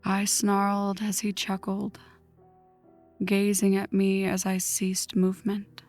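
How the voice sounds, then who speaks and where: A woman voicing a vengeful, newly turned vampire in a hushed, breathy ASMR story narration, slow and dramatic. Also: medium pitch. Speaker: audio roleplay narrator. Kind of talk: ASMR scripted first-person story narration. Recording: music under the voice. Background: background music.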